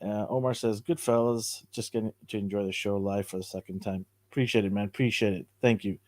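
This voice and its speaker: Guy hosting a live show, voice kinda raspy from yelling, chatty, dry room. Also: on the low side, steady tone, brisk, dry recording. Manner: upbeat, chatty, thanks viewers. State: hoarse from cheering. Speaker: podcast host. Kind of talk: casual live-stream chat.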